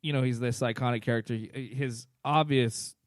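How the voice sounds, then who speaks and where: A man with a mid-pitched voice chats as a podcast co-host. His delivery is informal and peppered with swearing, and the sound is very dry with no room echo. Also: fairly even intonation, normal speed. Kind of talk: casual chat.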